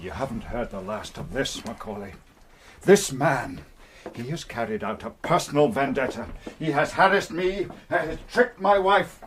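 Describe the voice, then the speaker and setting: An actor playing a proud, protective husband of an accused wife, clipped and indignant or pleading, in a radio crime drama. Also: male voice, mid-range voice, lively intonation, moderate pace, crowd noise, lightly acted.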